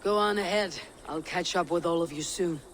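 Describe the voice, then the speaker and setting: An actor as a young Viking warrior in a game cutscene, high in pitch for a man. He is terse, resolute and commanding. There is faint noise in the background. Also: moderate pace, fairly bright tone, close to an everyday voice. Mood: decisive. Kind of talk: video game cutscene acting.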